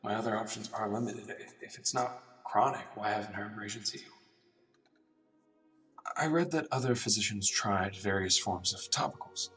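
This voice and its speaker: An earnest, awkward doctor played by a man in a radio drama speaks in a low voice, a little away from the mic. He is hesitant and reflective, gently apologetic, with music behind him. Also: moderate pace, natural voice. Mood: frustrated, pondering. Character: earnest, awkward male doctor gently questioning a withdrawn patient. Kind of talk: radio drama acting with inner monologue. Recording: distant mic, music underneath.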